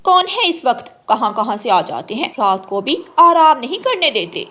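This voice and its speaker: A snobbish, cold-hearted crow wife from a cartoon moral tale, acted by a woman. She snaps and sneers in a highish voice that swings widely in pitch. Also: sing-song, moderate pace, sharp tone, faint music behind, full character voice. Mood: irritated. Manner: scornful, snappish. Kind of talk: Hindi cartoon moral story voice acting.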